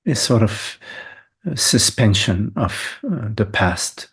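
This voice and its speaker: A man guiding a meditation as a teacher, speaking in short, unhurried phrases separated by long pauses. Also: fairly low pitch, very animated, a bit bright, breathy edge. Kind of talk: guided meditation.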